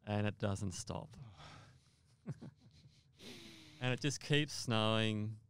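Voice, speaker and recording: Older male podcast guest, low and resonant, conversational storyteller who rephrases as he goes. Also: very slow, fairly bright tone, clear, resonant tone. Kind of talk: conversational first-person storytelling.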